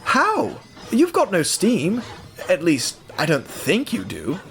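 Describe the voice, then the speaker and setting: A man's voice: high-pitched, huge pitch swings, slow pace, gravelly, a bit bright, pitched above the performer's own voice, full character voice. A man voicing a prissy, whiny young male steam engine in an audio drama, fussy and melodramatic. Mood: fussy, puzzled.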